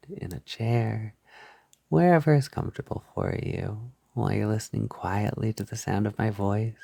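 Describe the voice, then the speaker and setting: A man leading a soft-spoken guided hypnosis, soothing and reassuring, gently repeating his phrases on a close mic. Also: lowish voice, vocal fry, slightly rough, no room sound. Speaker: hypnosis audio creator guiding the listener.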